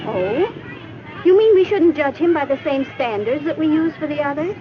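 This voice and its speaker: An American actress playing a patient, instructive female mentor in a 1950s educational film, explaining in a measured, didactic way. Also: sits high, expressive, background noise. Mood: gently probing. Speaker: teacher or counselor in an educational film. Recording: muffled old 1950s film soundtrack.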